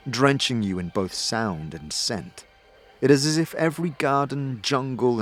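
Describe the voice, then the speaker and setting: A man narrating a fantasy audio drama as a storyteller, in a deliberate, theatrical scripted style. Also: mid-range voice. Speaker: narrator and game master. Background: background music.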